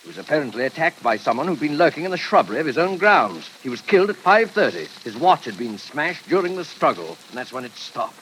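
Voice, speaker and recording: A man's voice: on the high side, sing-song, quick pace, hardly any pauses, a bit bright. An actor playing a grave, well-mannered doctor and father in a proper, emotive 1940s radio drama style. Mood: shocked, grave. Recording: noisy, hissing old radio recording.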